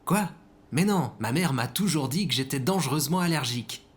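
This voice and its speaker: A man's voice: fairly high pitch, lively intonation, a little fast, natural voice. An actor plays an immature man in an audio comedy-drama. He comes across as naive and defensive, hesitating often as he talks. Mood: nervous, upbeat. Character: immature, hapless man, defensive and self-absorbed.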